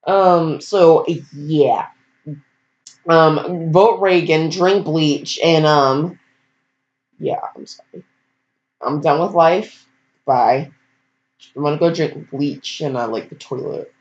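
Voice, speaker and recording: A woman's voice: low-pitched, slow pace, pauses often, a little mellow. A teenage girl casually reading aloud and rambling off on goofy, self-deprecating asides. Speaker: fan fiction reader. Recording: dull lo-fi microphone.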